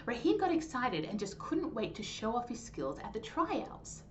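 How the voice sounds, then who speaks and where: A woman hosting a storytime, reading a children's story aloud in an animated, clearly phrased way. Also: fairly high pitch, moderate pace. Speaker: storytime host and reader. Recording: dull lo-fi sound, faint noise.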